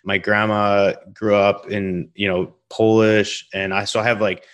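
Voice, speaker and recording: A man from Canada hosting a podcast, chatting in a friendly, relaxed way with frequent restarts. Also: on the low side, a little slow, clear, resonant tone. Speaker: podcast host. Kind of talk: casual interview chat.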